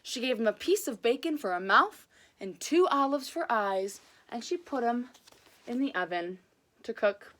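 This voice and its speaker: A woman reading aloud as a storyteller, casual and chatty, with an enthusiastic, expressive delivery. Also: a little high, moderate pace.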